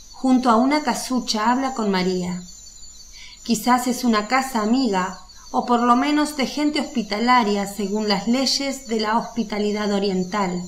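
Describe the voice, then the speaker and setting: Woman narrator for a bible radio play, measured storytelling, highish voice, faint birds and crickets behind. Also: slight background noise. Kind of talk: scripted narration for religious radio drama.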